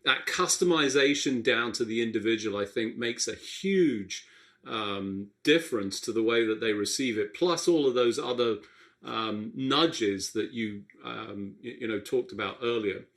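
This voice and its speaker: A man's voice: mid-range voice, a little slow, fairly bright tone. A man hosting a healthcare radio show, reflective and thinking aloud with frequent restarts. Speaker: radio show host, physician. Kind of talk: interview host.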